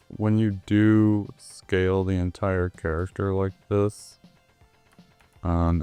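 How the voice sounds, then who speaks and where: A man with a hoarse voice, recovering from the flu, explaining his animation work step by step with many ums and uhs. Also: low-pitched, mellow, no echo. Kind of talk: screen-recorded tutorial walkthrough.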